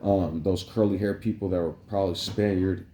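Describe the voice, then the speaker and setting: A man's voice: sits low, fairly even intonation, normal speed, dark tone, a little hiss or hum. A man delivering a meandering, preachy spiritual monologue to camera, full of "like" fillers. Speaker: vlogger. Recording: roomy echo.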